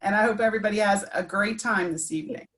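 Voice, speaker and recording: A woman nurse manager, measured and plain-spoken, introducing herself. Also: mid-range voice, fairly bright tone. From Baltimore. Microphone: video call.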